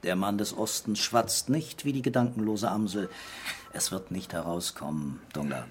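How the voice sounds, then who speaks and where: A man's voice: on the low side, normal speed, close to an everyday voice. Guy acting a french police commissioner, wry n understated, sly, radio crime play, noise behind him. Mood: calm, wry.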